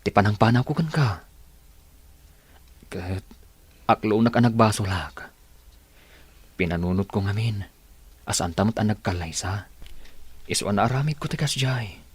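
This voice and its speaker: Remorseful, pleading lover. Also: male voice, on the low side, animated, husky, dry recording. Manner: halting, earnest pleading. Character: remorseful, pleading male lover seeking a second chance. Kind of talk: radio drama acting.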